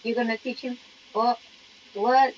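Slow-talking woman streamer, dull mic. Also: fairly high pitch, little pitch movement. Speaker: game streamer. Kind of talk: casual reaction commentary over gameplay. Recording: dull microphone, faint background noise.

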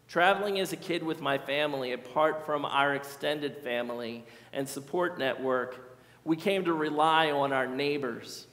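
A middle-aged man preaching a sermon from a lectern in a large church sanctuary, speaking in an earnest, measured way with slow emphatic repetitions. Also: a little high, a bit bright. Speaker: pastor. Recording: echoey church hall.

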